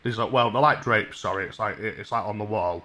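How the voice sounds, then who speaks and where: A man in his twenties with a Northern English accent, talking casually and mock-earnestly as a deadpan, hesitant prank caller at a desk microphone. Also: on the low side, fairly quick. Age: 20s. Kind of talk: casual prank phone call. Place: small room at home. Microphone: desk microphone on a stand.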